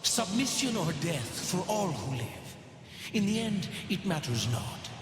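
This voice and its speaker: A man's voice: high-pitched, sing-song, measured pace. An actor voicing an undead, lovesick king in a video game, proud, grandiose and desperate for his lost queen. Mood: grandiose, menacing. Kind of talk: theatrical video game voice lines. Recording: roomy long reverb with music.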